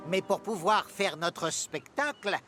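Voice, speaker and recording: A woman playing a lively, forthright travelling puppet-show performer in a children's cartoon. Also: medium pitch, a little slow, music in the background, lightly acted. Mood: indignant. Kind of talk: children's animated series dub.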